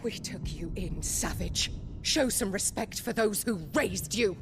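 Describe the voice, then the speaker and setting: A woman's voice: medium pitch, measured pace, noisy background, lightly acted. An actress playing a haughty, fanatical middle-aged noblewoman in a video game, imperious and theatrical. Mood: scornful. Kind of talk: dramatic video game cutscene acting. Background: orchestral music.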